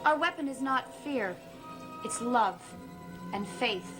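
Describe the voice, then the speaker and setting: A young woman secretly standing against evil in a 1960s gothic soap. Her slightly high voice is measured and solemn, set against prominent dramatic music. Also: lively intonation. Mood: solemn resolve. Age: young adult.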